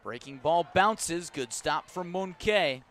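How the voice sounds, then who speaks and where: Guy doing baseball play by play, kinda high voice, short punchy calls, out at the ballpark. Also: slow, a little hiss or hum. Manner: clipped, descriptive phrases, rising to excited calls on action. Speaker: play-by-play sports announcer. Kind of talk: live baseball radio play-by-play commentary. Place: outdoors at a ballpark.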